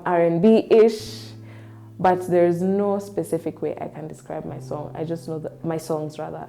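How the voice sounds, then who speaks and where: A woman with a Kenyan accent, telling stories in a casual, rambling way with lots of fillers, lively when she recalls memories. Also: deep voice, vocal fry. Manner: chatty and rambling, with frequent "like" and "um". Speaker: singer-songwriter guest. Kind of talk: casual interview storytelling.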